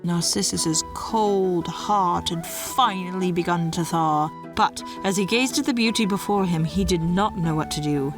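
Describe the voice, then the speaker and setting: A woman giving a scripted storytelling narration in a measured, dramatic way. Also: lowish voice, over music.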